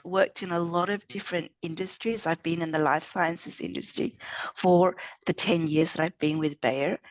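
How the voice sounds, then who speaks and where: A middle-aged woman with an Australian accent, speaking in a measured, articulate way in a panel talk about her corporate role. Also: on the low side, no room sound. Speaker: head of diversity, equity and inclusion.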